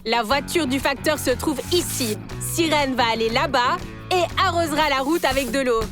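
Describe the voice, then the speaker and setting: A woman's voice: high voice, normal speed, natural voice. A woman voicing a resourceful, confident boy who leads a rescue team in a children's cartoon. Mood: determined. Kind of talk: animated children's series dubbing. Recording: music behind the voice.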